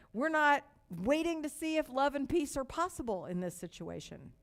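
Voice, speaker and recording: A woman's voice: high-pitched, sing-song. Older woman pastor with a light Texas accent. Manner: slow, emphatic repetition with warm storytelling asides. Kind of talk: sermon.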